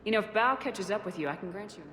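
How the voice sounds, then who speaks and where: A woman's voice: medium pitch, lively intonation, fast talker, crowd noise, lightly acted. A woman playing a businesslike, persuasive military agent in a video game.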